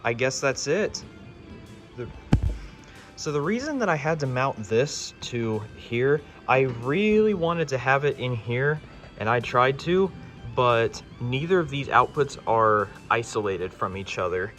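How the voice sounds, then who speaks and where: A man presents a hands-on electronics project in a casual, conversational way. His voice sits at an average pitch. Music plays clearly in the background. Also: very animated, measured pace, fairly bright tone.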